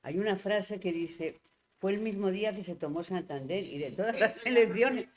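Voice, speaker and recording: Panel guest telling a story, lively, keeps restarting, mid pitch. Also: normal speed, over a noisy crowd. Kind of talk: casual panel conversation.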